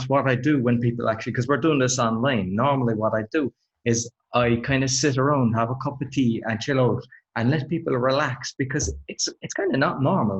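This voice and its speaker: A man's voice: mid-range voice, lo-fi sound. A man hosting a speaking podcast, talking casually and reassuringly, often repeating words as he starts a sentence. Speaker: podcast host. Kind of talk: casual interview.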